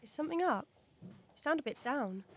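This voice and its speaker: A caring teenage schoolgirl, played by a young woman in an audio drama. Her voice is fairly high. She speaks gently and supportively, as a close friend would. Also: lively intonation, a little fast. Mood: friendly, concerned. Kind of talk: scripted audio drama dialogue.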